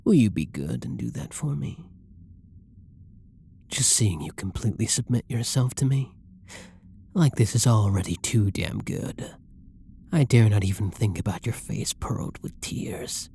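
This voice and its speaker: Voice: medium pitch, expressive, unhurried, vocal fry, pauses often, dark tone, slight background noise. An actor voicing a flirty, possessive villain who menaces and teases a hostage in an ASMR roleplay, domineering and often dropping into whispers. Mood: teasing, commanding. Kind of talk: roleplay ASMR audio drama monologue.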